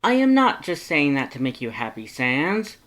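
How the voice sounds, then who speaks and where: A reader voicing an exuberant, kind-hearted male skeleton in a fan fiction story, loud and emphatic with formal wording. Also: sing-song, moderate pace. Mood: earnest, enthusiastic. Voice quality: loud, booming. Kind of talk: animated fan fiction character reading.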